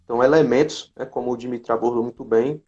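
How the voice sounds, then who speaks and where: A man's voice: medium pitch, steady tone, fast, a little mellow. A man with a Brazilian accent hosting a casual live interview chat, recorded in lo-fi live-stream sound. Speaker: host of a company live stream. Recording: dull lo-fi live-stream audio, faint music.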